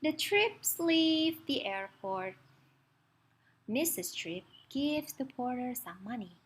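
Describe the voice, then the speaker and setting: Female picture-book reader for small children. Expressive and very varied in pitch, unrushed, engaging the listener with questions. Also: slow, slightly rough. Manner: expressive, asks the listener questions, points things out. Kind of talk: children's read-aloud with chatty asides to young listeners.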